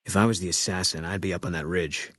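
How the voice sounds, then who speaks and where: A haunted ex-soldier sniper from a video game, speaking in a deep, husky voice. He is terse and stoic, with a grim fatalism in his delivery. The sound is dry and close. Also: fairly even intonation, fast talker, fairly bright tone, no echo. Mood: grim, guarded. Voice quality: low, husky. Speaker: video game companion character.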